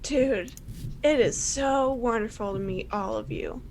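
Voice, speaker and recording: A woman doing a mellow hippie dude. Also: a little high, a little slow, slight background noise, natural voice. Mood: laid-back. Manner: laid-back surfer slang. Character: mellow nature-loving hippie man.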